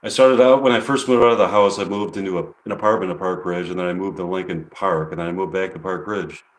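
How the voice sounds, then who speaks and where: A man from Chicago chatting hesitantly on a live stream between performing his songs, pausing to look things up. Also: deep voice, steady tone. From Chicago, USA. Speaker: singer-songwriter performing live. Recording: echoey room with guitar behind. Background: guitar.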